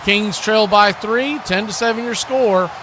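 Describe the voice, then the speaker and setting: A man's voice: sits high, unhurried. A man calling live football play-by-play as a radio announcer. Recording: clearly noisy background hiss and ambience.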